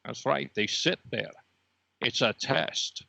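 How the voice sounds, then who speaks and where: A man's voice: mid-range voice, slow pace, vocal fry, pushed and bright, lo-fi sound, heavily acted. A man voicing a knowing, sardonic red laser dot, a cat's old rival, in an improvised comedy podcast. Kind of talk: improvised character comedy interview.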